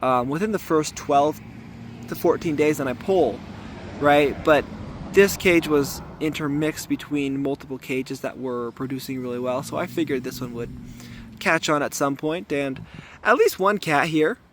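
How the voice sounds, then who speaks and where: A man with a raspy voice today, talking casually and thoughtfully to a camera while checking traps outdoors, with filler ums and restarts. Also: fairly high pitch, measured pace, noisy background. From southern Arizona. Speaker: trapper filming his trapline. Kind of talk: casual outdoor vlog narration. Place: outdoors in desert washes.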